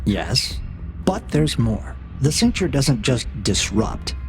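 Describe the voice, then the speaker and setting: A man playing a cold, calculating AI interrogator in an audio drama, speaking in a measured, probing, condescending way with a gravelly edge. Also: medium pitch, very animated, slight background noise. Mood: clinical taunting. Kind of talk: scripted audio drama dialogue.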